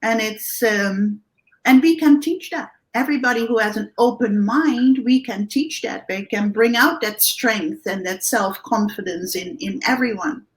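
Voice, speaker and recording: A woman from the Netherlands with a Dutch accent, a guest coach giving animated interview answers with many restarts and tag questions. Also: a little high, unhurried, rough recording. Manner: animated, thinks aloud with many restarts and "right?".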